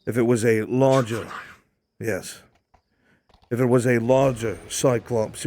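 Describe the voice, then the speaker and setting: A man playing a commanding military marshal in a dark fantasy roleplay, speaking in a measured, formal, authoritative way. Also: lowish voice, lightly acted. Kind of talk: improvised fantasy roleplay acting. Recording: background music under the voice.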